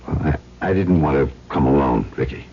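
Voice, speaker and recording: Deep-voiced, nervous, stammering radio drama man. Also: a little fast, gravelly. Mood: uneasy. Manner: stammering, hesitant, repeats words. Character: Hans, nervous, evasive, agitated man with a guilty secret. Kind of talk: old-time radio drama acting. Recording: muffled, noisy old radio recording.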